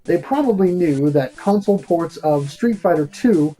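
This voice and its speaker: A man's voice: fairly high pitch, expressive, normal speed, dark tone, soft background music. A man hosting a retro video game review, reading scripted narration in a theatrical, wordy and wry manner.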